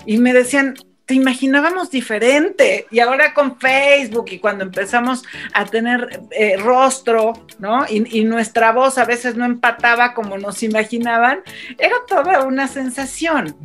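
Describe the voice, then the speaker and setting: Female broadcaster, somewhat high voice, conversational and reflective panel talk with light music. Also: normal speed, fairly bright tone. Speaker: radio broadcaster.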